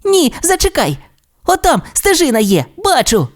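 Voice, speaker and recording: An excitable little dinosaur boy from a storybook, voiced by a woman. The voice is high and very animated. He is eager, fearless and pushy, forever coaxing and exclaiming. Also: normal speed.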